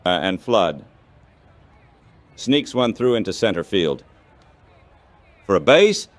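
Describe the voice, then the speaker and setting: A man doing baseball play-by-play commentary as the announcer, in measured, clipped phrases. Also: on the low side, very animated.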